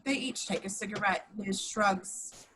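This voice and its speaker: A woman's voice: medium pitch, a little slow, fairly bright tone, a little hiss or hum. A woman reading action descriptions as the narrator of a scripted table read.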